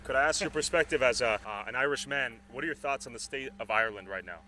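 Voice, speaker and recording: Fast-talking man doing street interviews. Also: mid-range voice, background noise. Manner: quick, upbeat questioning. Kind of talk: vox-pop street interview. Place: outdoors on a city street.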